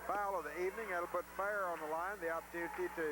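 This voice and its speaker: A man's voice: high voice, steady tone, quick pace. A man doing live radio play-by-play of a basketball game in short, folksy phrases. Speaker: radio play-by-play sports announcer. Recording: noisy old VHS tape of local radio broadcast.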